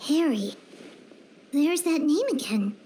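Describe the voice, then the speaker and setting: A woman's voice: high voice, lively intonation, slow, dark tone, slight background noise. A woman voicing a tiny, childlike fairy companion in a video game, earnest and chatty. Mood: puzzled, concerned. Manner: earnest, speaks of self in third person.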